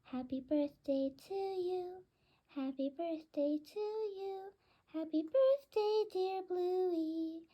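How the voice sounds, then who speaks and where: A woman's voice: very high-pitched, slow, lo-fi sound, voice pushed up. A woman playing a doting bird owner with a sing-song delivery in a children's story. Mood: celebratory. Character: doting female bird owner singing birthday greetings. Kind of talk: children's story read aloud.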